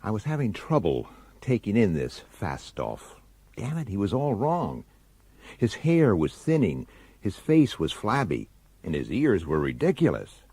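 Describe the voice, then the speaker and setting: An actor playing an irritable, sardonic hard-boiled police detective in a radio drama, gruff and impatient. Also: male voice, on the low side, wildly swooping pitch, unhurried, warm tone, exaggerated voice. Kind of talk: old-time radio drama acting and narration.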